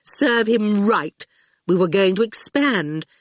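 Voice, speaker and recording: A woman's voice: mid-range voice, expressive, slow, dry recording, clean studio sound, voice pushed up. A woman voicing a haughty, glamorous, ageless woman ruler in an audiobook, cool and sweetly condescending. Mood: pouting, breezy. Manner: pouting, cold then gracious. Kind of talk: audiobook character voice.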